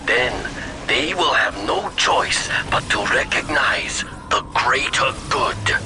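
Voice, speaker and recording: Casting: calculating alien war leader, mid-pitched, slow formal delivery, game cutscene with music behind. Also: male voice, expressive. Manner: deliberate, formal. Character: calculating, stern male alien Tau military commander. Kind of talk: video game cutscene acting. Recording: music underneath.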